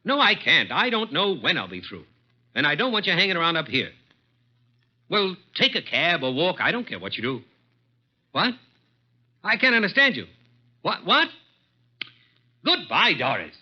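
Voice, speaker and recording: An actor playing a curt, unforgiving advertising boss with a brusque, clipped manner in 1940s radio style. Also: male voice, fairly high pitch, wildly swooping pitch, normal speed, long pauses. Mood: irritated. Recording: dull vintage radio recording.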